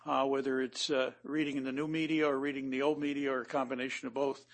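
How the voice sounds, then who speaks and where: Measured man answering on a panel. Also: mid-range voice, flat delivery. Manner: measured, many "uh" pauses.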